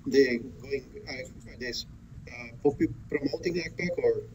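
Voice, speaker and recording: A man of average pitch chats through a business call as a prospective partner. His delivery is casual and hesitant, with restarted phrases and plenty of "you know". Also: a little slow, darkish tone, slight background noise, rough recording.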